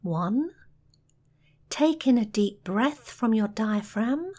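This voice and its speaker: Very slow, soothing woman narrator. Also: fairly high pitch. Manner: soothing, measured, softly delivered with pauses. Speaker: guided relaxation narrator. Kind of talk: scripted guided meditation narration.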